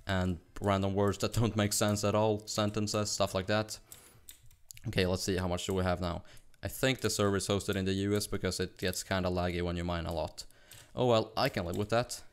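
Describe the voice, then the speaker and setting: A young man from Sweden with a Swedish accent, chatting fast and rambling with laughs while narrating his gameplay. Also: low-pitched, clean tone. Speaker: gaming YouTuber. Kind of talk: casual gameplay commentary.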